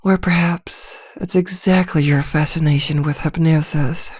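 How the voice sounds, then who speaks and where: A woman playing an arrogant, seductive vampire villainess in an intimate ASMR roleplay, soft-voiced and teasing, with a commanding, hypnotic manner and frequent drops toward a whisper. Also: low voice, fairly even intonation, measured pace, slightly rough, dry recording, clean studio sound. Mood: hushed probing. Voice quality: soft, often dropping into whispers.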